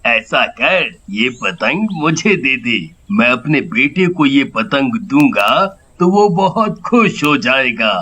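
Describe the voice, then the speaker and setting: A man voicing a coaxing village uncle in a cartoon story, deliberate in manner. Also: medium pitch, very animated, sharp tone, breathy edge, full character voice. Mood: admiring, coaxing.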